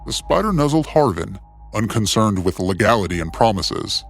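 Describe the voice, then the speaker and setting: A man narrates an adventure tale with dramatic, scripted phrasing. His voice is fairly low, and faint music plays beneath it. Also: sing-song, moderate pace, vocal fry. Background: soft music.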